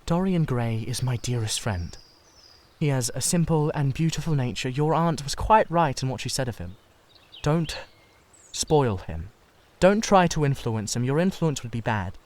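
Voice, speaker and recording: A man voicing a sensitive, earnest Victorian gentleman painter in a dramatised novel reading, heartfelt, hesitant and pleading. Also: mid-range voice, animated, moderate pace, natural voice.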